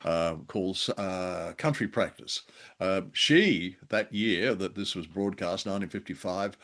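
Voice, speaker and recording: A man's voice: deep voice, a little slow, fairly bright tone. A man co-hosting a radio show and podcast, chatting in a casual, conversational style.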